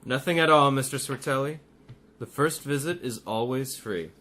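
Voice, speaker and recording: An actor playing a vain, scheming hypnotist therapist in a radio mystery, with a deliberate, soothing yet commanding manner. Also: male voice, medium pitch, lightly acted. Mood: genial, false. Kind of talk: radio mystery drama acting.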